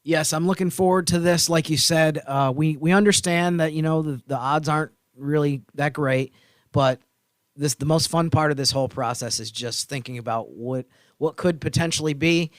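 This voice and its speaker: A man's voice: a little high, steady tone, moderate pace, no room sound. A man co-hosting a sports podcast, talking in an earnest, easygoing way. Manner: earnest, easygoing, says "you know" a lot. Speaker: team reporter, podcast co-host. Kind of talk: casual sports podcast chat.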